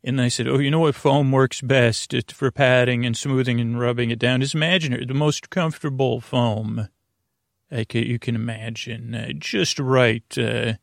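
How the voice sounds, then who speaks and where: Male sleep-podcast host: gravelly, creaky, mid-pitched, halting and digressive, lulling bedtime delivery. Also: fairly even intonation, dry recording, studio quality.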